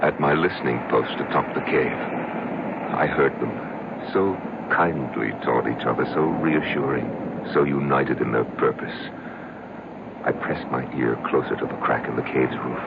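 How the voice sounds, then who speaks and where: A brooding man in his fifties confesses with grave deliberation in an extremely deep voice. The vintage radio drama recording is muffled and heavily noisy. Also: normal speed, gravelly. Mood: obsessed, bitter. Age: 50s. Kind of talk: old-time radio drama narration.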